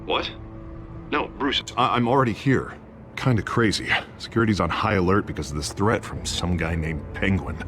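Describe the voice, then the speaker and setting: An actor playing an anxious, self-interested politician in a video game, hesitant, chummy and persuasive. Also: male voice, low-pitched, moderate pace, fairly bright tone, faint music behind. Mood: uneasy. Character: male politician, anxious, ingratiating, self-interested campaign candidate.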